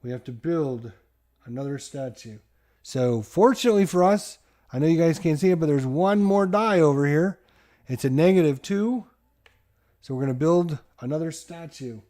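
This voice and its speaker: Guy doing excited play-by-play of his solo board game, hypes up every move, middle-aged. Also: fairly high pitch, moderate pace. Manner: hyped, sports-announcer style play-by-play to viewers. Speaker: board game channel host.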